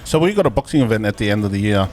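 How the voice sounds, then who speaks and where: A man's voice: fairly low pitch, expressive, racing, darkish tone, soft background music. A man hosting a casual podcast chat, putting questions to the others in a conversational way. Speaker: podcast host. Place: filmed podcast at a hotel venue.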